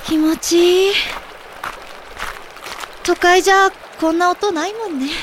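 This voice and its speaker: Woman as polite young girl, soft-hearted and troubled, very high voice, audio drama, faint background noise. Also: fairly even intonation, slow pace. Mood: wistful. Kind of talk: Japanese audio drama acting.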